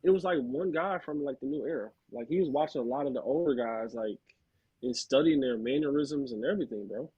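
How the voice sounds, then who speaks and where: A man's voice: medium pitch, a little fast, husky, highs cut off. An American man chatting casually as a sports panelist, slangy and enthusiastic like a devoted fan. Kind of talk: casual chat about football prospects.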